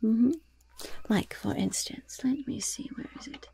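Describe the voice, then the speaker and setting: Woman playing a whispering, croaky goblin shopkeeper. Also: fairly high pitch, mellow, exaggerated voice. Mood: sly, welcoming. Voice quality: breathy whisper. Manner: unhurried, coy, chatty sales patter with chuckles. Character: mischievous female goblin shopkeeper selling poisons and curses. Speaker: ASMR roleplay performer. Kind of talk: ASMR roleplay, whispered.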